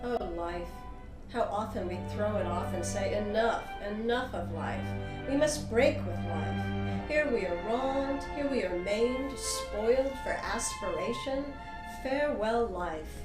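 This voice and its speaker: An actress gives a woman poet's lyrical audiobook reminiscence. She sounds a little distant in a roomy space, with music behind her. The phrasing is unhurried, measured and solemn. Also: medium pitch, lively intonation. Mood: wistful. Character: reflective woman poet recalling her youth. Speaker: audiobook performer. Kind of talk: dramatic poetic audiobook narration.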